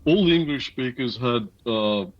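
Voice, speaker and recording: A man's voice: mid-range voice, expressive, moderate pace, dry recording, dull audio. An older man telling stories as an interview guest, reminiscing in a casual conversational way. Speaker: interview guest, loudspeaker designer.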